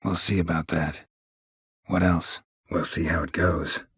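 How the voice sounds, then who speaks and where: Weary video game monster hunter: American, very husky, low, terse and deadpan. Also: male voice, measured pace, no echo, studio quality, heavily acted. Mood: dry, guarded. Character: gruff, weary, sardonic male monster hunter.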